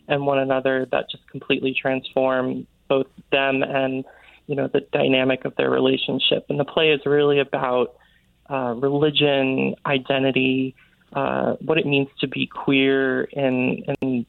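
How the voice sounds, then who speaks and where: Male author guest, earnest, effusive, creaky voice, tinny phone connection. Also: medium pitch, dry recording. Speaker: interview guest, author and playwright. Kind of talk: casual phone interview. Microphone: phone call. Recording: tinny, narrow phone line.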